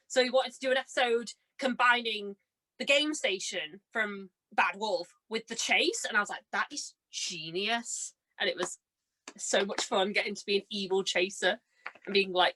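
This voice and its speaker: A young adult woman chatting casually and telling anecdotes in a bubbly, excitable manner, often saying "like". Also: mid-range voice. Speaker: guest, amateur voice actor.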